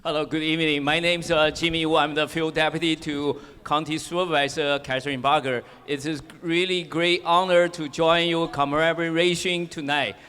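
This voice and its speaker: Polite guy giving a short formal greeting, smooth voice, slightly high, hall echo. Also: steady tone, moderate pace, hardly any pauses, pushed and bright. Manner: polite, hesitant with fillers. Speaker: government field deputy. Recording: reverberant hall.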